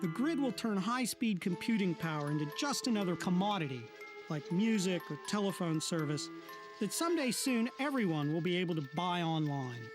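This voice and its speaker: A man reads a documentary script as a science correspondent. His pitch swings widely as he explains. Loud music plays under his voice. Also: moderate pace. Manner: lively, explanatory delivery. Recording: narration over loud music.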